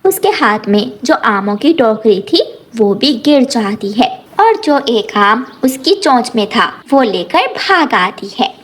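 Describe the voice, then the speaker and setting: A woman's voice: fairly high pitch, sing-song, slow, hardly any pauses. A woman narrating a scripted children's cartoon story.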